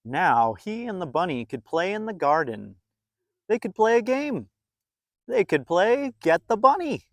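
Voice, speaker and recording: A man reading a story aloud to young children in a playful, expressive way, with dramatic emphasis and drawn-out words. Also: sits high, no echo.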